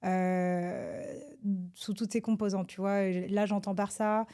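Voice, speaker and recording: A woman from France, a government advisor, answers interview questions in a hesitant, halting way with many filler sounds and restarts. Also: medium pitch, flat delivery, warm tone, clear, resonant tone. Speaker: government advisor, podcast guest.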